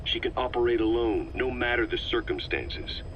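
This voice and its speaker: An actor playing a shrewd, calm, calculating military veteran in a video game, measured and self-assured. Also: male voice, mid-range voice, dull audio, close to an everyday voice. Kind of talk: dramatic game voice acting. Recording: faint background noise.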